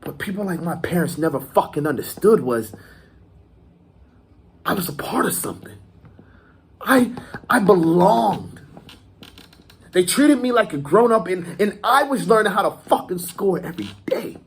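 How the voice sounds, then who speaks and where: A man performing a dramatic monologue as a swaggering, foul-mouthed gangster reminiscing about his youth, halting and repeating words for emphasis. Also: on the high side, lively intonation, a little mellow, husky. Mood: proud, fired up.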